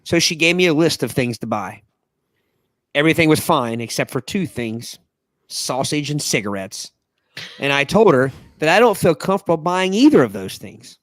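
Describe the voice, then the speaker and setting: Lively-voiced man reading a post aloud. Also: on the high side, moderate pace, no echo. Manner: reads with frequent laughing breaks and asides. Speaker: podcast host reading a listener post. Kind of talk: casual podcast chat, reading aloud.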